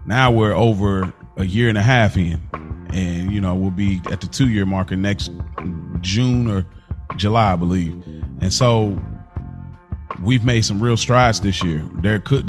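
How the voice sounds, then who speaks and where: A man co-hosting a podcast, talking casually and reflectively as he thinks aloud. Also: on the low side. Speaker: podcast co-host. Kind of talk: casual conversational podcast talk.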